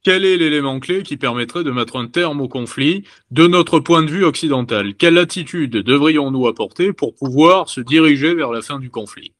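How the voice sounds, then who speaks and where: Male interviewer, measured formal questions, slightly high voice, dry sound. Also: a bit bright, no echo. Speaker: interviewer, magazine editor.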